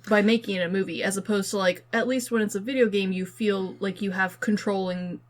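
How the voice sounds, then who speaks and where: A woman's voice: mid-range voice, fairly even intonation, fairly quick. Casual woman podcaster thinking aloud. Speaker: podcast co-host. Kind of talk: casual chat about films and games.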